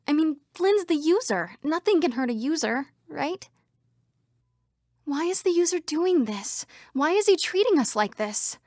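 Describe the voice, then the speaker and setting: Picture a female computer program in a video game. Her voice is high and swings widely in pitch as she delivers scripted lines. The sound is dry, with no room around it. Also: a little mellow, no echo. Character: female computer program citizens, by turns frightened, defiant or official.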